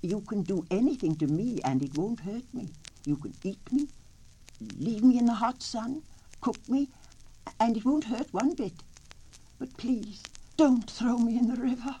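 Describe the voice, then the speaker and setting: A woman voicing a small, frightened baby turtle boy who pleads in a high, small voice. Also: animated, measured pace, dark tone, breathy edge, poor audio. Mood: pleading.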